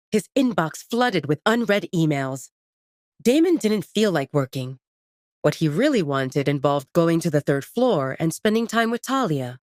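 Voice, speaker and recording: A woman's voice: sits low, darkish tone, no echo, clean studio sound. A woman narrating a scripted romance story in a dramatic audiobook style.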